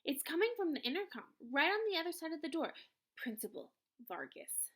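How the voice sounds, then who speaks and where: A woman narrating a children's novel aloud in an expressive storytelling style. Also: very high voice, fast.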